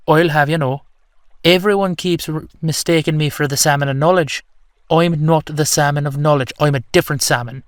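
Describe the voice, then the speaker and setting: Audio roleplay: whimsical, vain, ancient fish-turned-man, male actor. Chatty mythic storyteller, lightly raised pitch, dry close sound. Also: no echo, close to an everyday voice. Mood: playfully indignant. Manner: chatty, colloquial, playfully indignant. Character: whimsical, boastful ancient salmon-man, storyteller of Irish myth.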